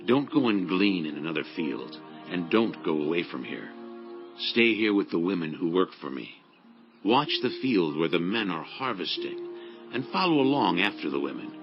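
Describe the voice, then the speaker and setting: Man acting landowner of standing, very low gravelly voice, kindly authority, scripture drama, measured. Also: sing-song. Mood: kindly, assured. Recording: dull lo-fi audio over music.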